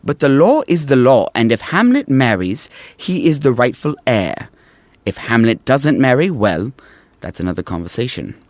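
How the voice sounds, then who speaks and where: A man's voice: mid-range voice, very animated, normal speed, no echo, natural voice. A man playing a dutiful, unyielding royal advisor in a modern audio drama, formal and wry, deferential yet pointed. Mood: brisk, exasperated.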